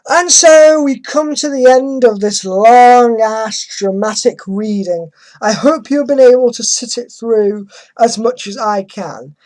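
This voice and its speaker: A woman's voice: on the high side, darkish tone, poor audio. A woman hosting a dramatic reading, commenting with sarcastic, exasperated emphasis. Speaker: host reading an article aloud with commentary.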